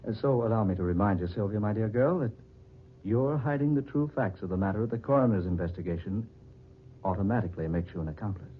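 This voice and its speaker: An actor playing a suave, scheming, unhinged murderer in an old-time radio drama, theatrical and condescending. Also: male voice, fairly low pitch, fast talker, slight background noise, close to an everyday voice. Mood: smooth, threatening. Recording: dull lo-fi old radio recording.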